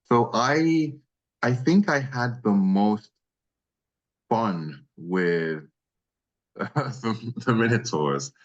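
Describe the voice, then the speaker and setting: A man speaking conversationally as an interviewed voice actor, in a reflective, halting way with emphatic repeats. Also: lowish voice, animated, dull audio.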